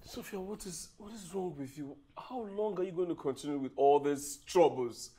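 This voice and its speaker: An actor playing a tired, henpecked husband who begs and stammers. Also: male voice, on the high side, moderate pace, mellow, breathy edge. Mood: exasperated. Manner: pleading and stammering. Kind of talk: comedy skit acting.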